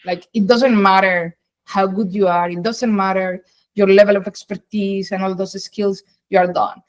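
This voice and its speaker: Guest, woman, Colombian accent, native Spanish, middle pitch, emphatic explainer. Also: flat delivery, moderate pace, rough recording. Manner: emphatic, explains in long flowing runs with rephrasings. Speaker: guest, data science executive. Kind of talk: interview answers, conversational.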